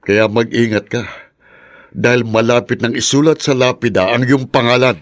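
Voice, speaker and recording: A man's voice: mid-range voice, fairly even intonation, moderate pace, no echo, clean studio sound, lightly acted. A man with a Filipino accent playing a vengeful, accusing brother in a radio drama, stern and threatening. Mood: accusing, menacing. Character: menacing, vengeful male political rival, accusing brother.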